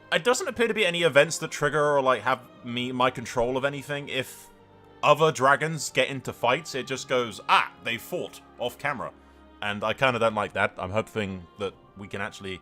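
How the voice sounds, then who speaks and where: A man hosting a gaming video with excitable let's-play commentary, swearing freely and swinging between dramatic exclamations and dry understatement. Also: fairly low pitch.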